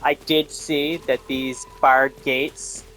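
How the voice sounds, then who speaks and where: Bright-voiced man doing casual game commentary. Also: mid-range voice, level tone, takes it slowly, slight background noise. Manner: thinking aloud, muttering to himself. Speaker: gameplay streamer. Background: faint game music.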